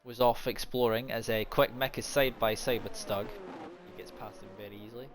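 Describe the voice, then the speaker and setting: A man with a naturally quiet voice giving live, unscripted sim racing commentary, mixed with casual chat. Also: mid-range voice, fairly even intonation, normal speed. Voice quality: soft-spoken, naturally quiet voice. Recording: noisy home stream microphone.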